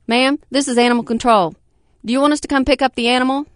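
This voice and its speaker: A woman's voice: high-pitched, fairly even intonation, smooth, no echo, studio quality, pitched above the performer's own voice, natural voice. Woman doing a pushy animal control officer. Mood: stern, pushy. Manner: stern, pushy, rapid-fire.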